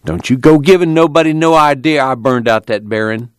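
A man's voice: mid-range voice, a little fast, clear, resonant tone, dry recording, clean studio sound, pitched above the performer's own voice, lightly acted. Man acting a touchy young country guy. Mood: angry. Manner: folksy rural speech, complaining and bristling. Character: touchy, proud, defensive young country man, handy with cars.

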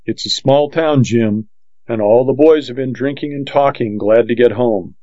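A man's voice: mid-range voice, moderate pace, dark tone, no room sound, close to an everyday voice. Guy doing a gossipy frontier sidekick, chatty and offhand, muffled dry audio. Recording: dull, lo-fi dry audiobook recording.

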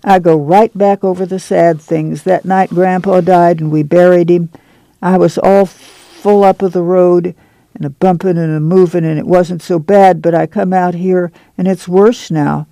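A man voicing a tired, steadfast farm mother with a soft rural drawl, in his own creaky, highish voice. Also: fairly even intonation, unhurried, dry recording, low-quality recording. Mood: sad.

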